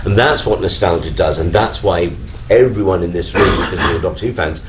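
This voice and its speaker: A man's voice: low voice, brisk. A man speaking earnestly as a guest in a live panel discussion, leaning on repetition for emphasis. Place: on stage at a fan convention. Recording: noisy live event room.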